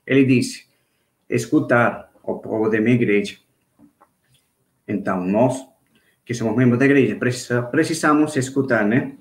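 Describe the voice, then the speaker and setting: A man from Venezuela with a Venezuelan Spanish accent, a native Spanish speaker, teaching an online church class in a halting way, with restarts and checks for agreement. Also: medium pitch, roomy sound. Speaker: Sunday school teacher. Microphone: video call.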